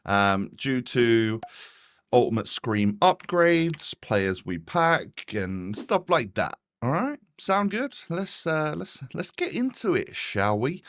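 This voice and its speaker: A man's voice: mid-range voice, sing-song, slow pace, fairly bright tone, no room sound. A British man with a slightly bunged-up nose, sounding tired as he gives chatty, casual gameplay commentary as a gaming host. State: slightly congested.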